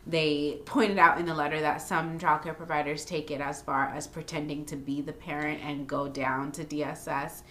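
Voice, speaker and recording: A young woman, chatting frankly on a home podcast with plenty of fillers. Her voice is bright and forceful and sits a little low, with a faint hiss of room noise behind her. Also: flat delivery, moderate pace, hardly any pauses. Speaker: podcast host, daycare owner. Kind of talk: casual chat.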